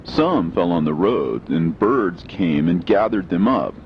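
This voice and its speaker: A man's voice: low-pitched, big pitch swings, unhurried, dull audio, slight background noise. A man reading scripture as scripted audiobook narration, in a solemn, declamatory manner. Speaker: reader of religious scripture.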